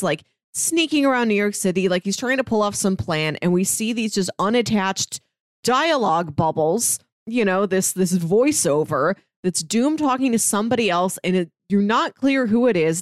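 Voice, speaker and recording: A woman's voice: medium pitch, no room sound, studio quality. A woman co-hosting a podcast, casually retelling comic plots and acting out characters' lines in a conversational way. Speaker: podcast co-host. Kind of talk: casual comic book discussion, retelling plot.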